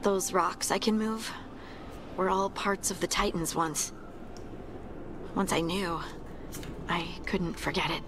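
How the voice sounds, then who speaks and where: A woman's voice: lowish voice, fairly even intonation, slow, long pauses, background noise. A woman voicing an earnest, reflective young dwarf in a video game, with music behind her. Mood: solemn.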